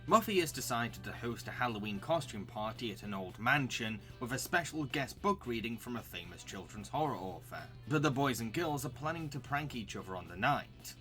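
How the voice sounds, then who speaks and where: A man delivers scripted review narration in a very bright, forward voice at an ordinary pitch. His delivery is enthusiastic and recommending, the manner of a video host. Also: lively intonation, normal speed, hardly any pauses, faint music behind.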